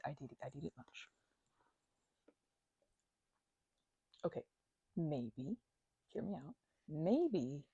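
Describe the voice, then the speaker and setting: A woman acting a chatty housemate getting over a migraine in an ASMR roleplay. Her voice is mellow, slightly low and creaky with fry, and she rambles hesitantly, teasing and playfully mock-offended. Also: dry recording, full character voice. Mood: sheepish, rambling. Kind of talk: intimate ASMR roleplay, improvised-sounding conversation.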